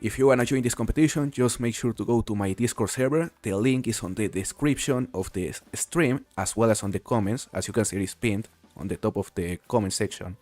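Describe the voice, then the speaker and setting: Casual male streamer, lowish voice, easygoing talk to chat, dry mic. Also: brisk, hardly any pauses, no room sound, soft background music. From Mexico. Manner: relaxed, chatty, answers viewers while playing. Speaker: game streamer. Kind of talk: casual live-stream commentary, reading chat.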